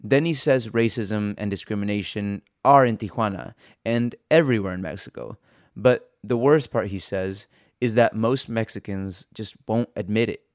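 A man's voice: on the low side, moderate pace, dry recording, clean studio sound. A man reporting and hosting in a scripted narration style. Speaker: podcast host and reporter. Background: music bed.

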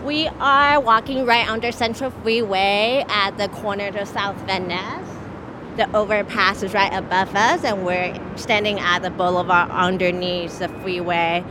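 A woman's voice: medium pitch, fairly even intonation, a little slow. A woman urban planner from Taiwan explaining things casually while walking outdoors under a freeway overpass. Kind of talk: interview on a walk. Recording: street recording with traffic noise.

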